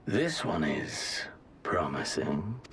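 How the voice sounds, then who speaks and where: A man's voice: extremely low pitch, big pitch swings, drawn-out words, a bit bright, a little hiss or hum, heavily acted. A man playing a haughty, menacing warlord prince in a video game, languid and imperious. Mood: bored menace. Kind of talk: dramatic video game cutscene acting.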